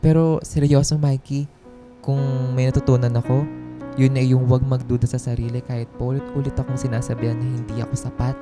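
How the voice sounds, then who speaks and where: A woman's voice: very deep voice, flat delivery, brisk. Heartfelt young filipina office worker in a radio drama, self-doubting, reflective, guitar music behind her. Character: earnest young office worker woman, self-doubting, healing from toxic job. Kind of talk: radio drama acting, conversational.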